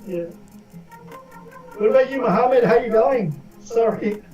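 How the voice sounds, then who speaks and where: A man's voice: very high voice, measured pace, dull audio. A man, chatting in a chummy, slangy way on a group voice call. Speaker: caller in a group voice chat. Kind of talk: casual chat. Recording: distant, roomy voice chat with music.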